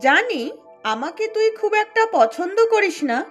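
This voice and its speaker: A woman's voice: extremely high pitch, very animated, moderate pace, fairly bright tone, faint music behind, full character voice. A woman playing a contrite aunt making amends in a cartoon, full of emotion. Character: remorseful, once-quarrelsome aunt making amends. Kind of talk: cartoon voice acting.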